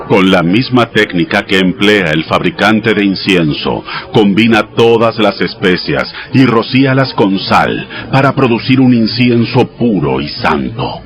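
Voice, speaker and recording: An actor playing God as a solemn, commanding deity giving sacred laws in a dramatized audio Bible, in a measured manner. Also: male voice, sits low. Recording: voice over clear background music.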